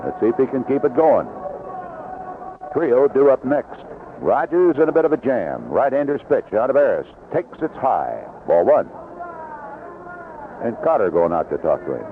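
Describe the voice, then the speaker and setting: An American man calls a baseball game in the style of 1970s radio, with short, clipped phrases. His pitch is mid-range and swings in a lively way. The sound is narrow like an old AM set, with clear noise around him. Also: normal speed, pauses often. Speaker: baseball play-by-play announcer. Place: outdoor ballpark broadcast booth. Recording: dull, noisy vintage AM radio broadcast.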